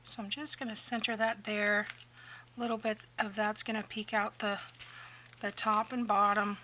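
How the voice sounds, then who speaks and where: A woman hosting a casual crafting tutorial, chatty and rambling, sometimes dropping to a near-whisper, her voice a little hoarse. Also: medium pitch, flat delivery, normal speed, sharp tone, a little hiss or hum. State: slightly hoarse, losing her voice. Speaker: craft channel host.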